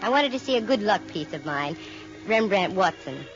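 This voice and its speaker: An American actress playing a sharp-witted, wisecracking woman private detective in old radio drama style, wry and breezy. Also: mid-range voice, very animated, moderate pace, close to an everyday voice. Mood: wry narrating. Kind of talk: 1940s-50s radio detective acting, first-person narration. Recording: muffled vintage radio recording with music.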